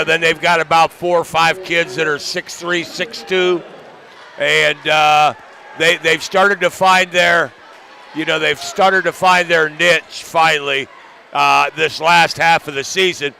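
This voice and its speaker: A man giving sports analysis as a color analyst, in a halting, folksy way. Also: on the high side, vocal fry, sharp tone. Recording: noisy gym with bouncing basketballs.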